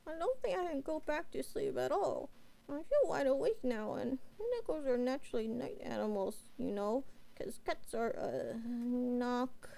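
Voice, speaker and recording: A woman's voice: high-pitched, vocal fry, warm tone, heavily acted, rough recording. A woman playing a timid, clingy young catgirl who stammers and whimpers as she pleads with her master in a bedtime roleplay audio. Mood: flustered, sleepy. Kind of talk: roleplay audio drama, bedtime sleep aid. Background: rain and thunder ambience.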